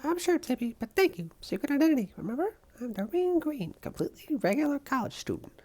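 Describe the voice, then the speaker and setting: A woman's voice: sits high, big pitch swings, quick pace, darkish tone. A woman voicing an upbeat young superhero college student in a comic dub, perky, chatty and emphatic. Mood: perky, determined. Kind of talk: comic book dub voice acting.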